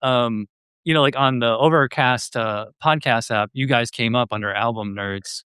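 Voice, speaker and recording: A man's voice: mid-range voice, normal speed, bright, forceful tone, clear, resonant tone, dry recording, clean studio sound. A man hosting a podcast in a casual, conversational style. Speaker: podcast host.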